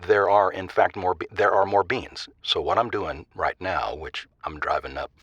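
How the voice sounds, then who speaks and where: A man playing an earnest, rambling small-town caller in a comic audio drama, with a husky voice and emphatic, fussy delivery. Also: low-pitched, normal speed, a bit bright, dry recording, highs cut off. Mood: amazed.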